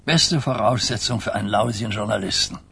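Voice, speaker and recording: A man playing an old, dignified mafia godfather in a radio crime drama, weary and grave, speaking in a measured, husky voice. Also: on the low side, a bit bright, heavily acted. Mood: wry, grave. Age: older.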